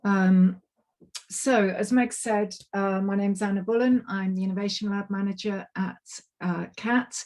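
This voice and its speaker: A woman giving an online presentation on a video call, her voice a little croaky and tired, chatty and apologetic with lots of ums and restarts. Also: mid-range voice, fairly even intonation, unhurried, darkish tone. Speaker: climate project manager giving a webinar talk. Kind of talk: online presentation, loosely scripted. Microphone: computer mic on a video call.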